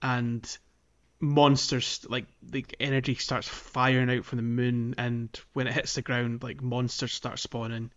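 Male podcast host, medium pitch, enthusiastic geeky chat, slightly dull audio. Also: level tone, measured pace, a bit bright. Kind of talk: casual game discussion podcast.

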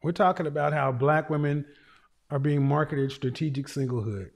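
A blunt man hosts a call-in show, cutting in and repeating himself. His big voice sits a little high for a man. He talks over callers with loud, insistent phrases. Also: moderate pace, gravelly. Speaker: show host. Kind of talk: live call-in show talk.

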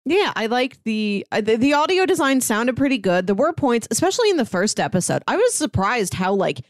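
A woman's voice: fairly high pitch, sing-song, a little fast, no room sound, clean studio sound. A woman co-hosting a podcast, chatting casually as she gives her review. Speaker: podcast co-host.

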